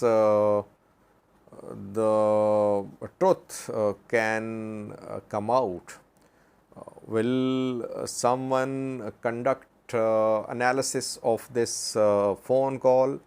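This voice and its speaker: A man giving unscripted news commentary as a channel host, speaking haltingly with many filler sounds and posing rhetorical questions. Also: medium pitch, darkish tone, clean tone. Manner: halting, with long hesitations and frequent filler sounds.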